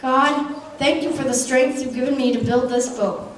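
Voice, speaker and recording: Woman as faithful old husband, earnest, puppet show, high voice, distant and faintly noisy. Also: steady tone, normal speed, lightly acted. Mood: prayerful. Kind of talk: amateur puppet show acting. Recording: echoey room from far off, noisy.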